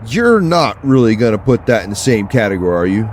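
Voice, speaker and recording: An actor playing a blunt, impatient, dismissive sheriff's deputy in an audio drama, with a sardonic manner. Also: male voice, lowish voice, sing-song, brisk. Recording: faint vehicle ambience noise.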